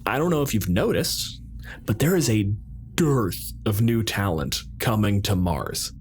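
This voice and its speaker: A man playing a measured, bureaucratic colonial president in roleplay, speaking formally with deliberate, pointed pauses. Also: lowish voice, animated, a little hiss or hum. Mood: firm, unyielding. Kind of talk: improvised roleplay dialogue.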